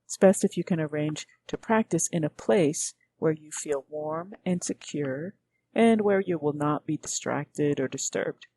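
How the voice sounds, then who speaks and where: A woman guiding a meditation as a teacher, speaking gently in measured, unhurried phrases with pauses. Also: low voice, a little hiss or hum. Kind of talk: guided meditation narration.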